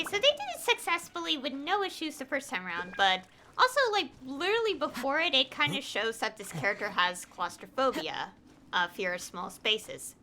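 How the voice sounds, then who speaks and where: A woman streaming games talks in a high, very lively voice with a bright edge. She chats casually and rambles, often breaking her thoughts into halting fragments. There is faint noise behind her. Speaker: game streamer. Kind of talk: casual livestream chat.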